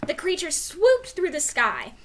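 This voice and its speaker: A woman reading a children's storybook aloud as narrator, with expressive delivery. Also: very high voice, a little slow, a little hiss or hum. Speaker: story reader.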